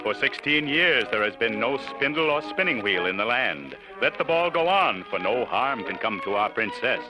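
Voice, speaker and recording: A man's voice: mid-range voice, lively intonation, moderate pace, hardly any pauses, close to an everyday voice, low-quality recording. An actor playing a regal, reassuring king and father on a children's story record. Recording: old 78rpm record with orchestral music.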